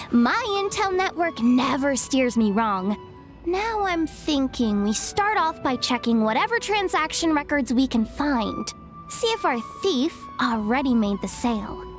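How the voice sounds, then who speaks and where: A young woman informant from a video game, high-pitched and savvy. She talks in a wry, self-assured, conversational way, with music playing behind her. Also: very animated, a little slow. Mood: confident. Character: street-smart young female informant, confident and wry. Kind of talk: video game cutscene acting.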